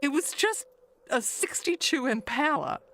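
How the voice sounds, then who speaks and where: An actress playing Agnes as a chatty, nervous, wistful middle-aged neighbor in an audio mystery, halting and rambling. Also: female voice, sits high, animated, a little hiss or hum, exaggerated voice. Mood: wistful gossip. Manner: halting, rambling, trailing into hushed musing. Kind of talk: dramatic monologue in an audio mystery play.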